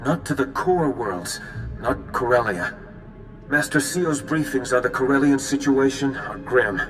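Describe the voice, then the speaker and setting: An actor playing a grave, determined army commander briefing in a video game. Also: male voice, mid-range voice, a little slow, lightly acted. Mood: grim. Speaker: military officer giving briefing. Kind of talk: video game cutscene acting. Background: music.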